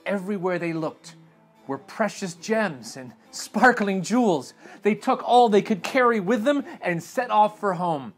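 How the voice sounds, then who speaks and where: A young man reading a storybook aloud to children in an animated, expressive way, recorded in a living room. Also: high-pitched, measured pace, soft background music. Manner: animated and expressive, dramatic swings in tone. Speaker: read-aloud host. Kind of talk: storybook read-aloud narration. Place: indoor living room with sofa.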